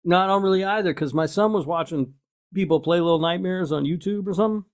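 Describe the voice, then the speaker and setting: A man's voice: high-pitched, fast. Blunt, sweary man chatting casually. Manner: blunt, laid-back, foul-mouthed. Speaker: podcast host. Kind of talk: casual chat.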